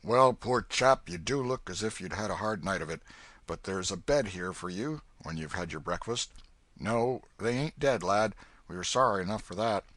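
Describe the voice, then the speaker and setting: A man voicing a hearty, kindly old farmer who talks loudly and bluffly. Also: on the low side, expressive, moderate pace, no room sound. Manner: loud, hearty and commanding.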